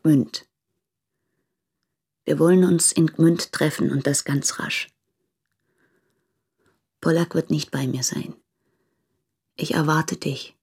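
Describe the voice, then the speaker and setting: A woman's voice: deep voice, steady tone, slow pace, gravelly, pauses often, darkish tone, husky, heavily acted. An actress playing a woman in love, earnest and pleading, in an intimate radio drama. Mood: urgent longing. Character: yearning, urgent woman in love, pleading by letter.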